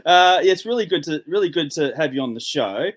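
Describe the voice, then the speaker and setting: A man hosts a casual interview in a friendly, rambling way, with many restarts. His voice sits a little higher than most men's. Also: animated, brisk. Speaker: podcast host.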